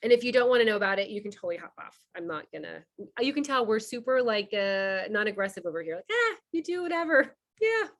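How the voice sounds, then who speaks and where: A woman from Cuba hosting an online member orientation, talking fast and chatty with many fillers over a video call. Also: mid-range voice, very animated, a bit bright. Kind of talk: casual online presentation.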